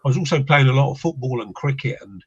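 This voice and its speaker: A man's voice: medium pitch, lively intonation, quick pace, breathy edge. An older man, a paranormal investigator from England, talking as a guest in a casual chat, reflective and hesitant with many restarts. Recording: dull lo-fi line.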